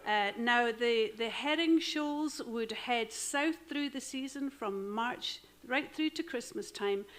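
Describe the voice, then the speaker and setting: A woman's voice: fairly high pitch, a bit bright. A Scottish woman, a folk singer, chatting wryly on a radio show about the song she is about to sing. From Scotland. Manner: wry, chatty storyteller. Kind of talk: introducing songs on radio. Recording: hall reverb with music.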